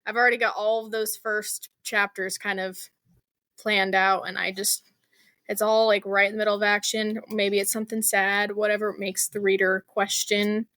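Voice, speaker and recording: Woman, guest author, casual interview, chatty, informal phrasing, mid-range pitch, dry recording. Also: little pitch movement, moderate pace, a bit bright, no room sound. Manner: chatty, informal, frequent "like" and "you know".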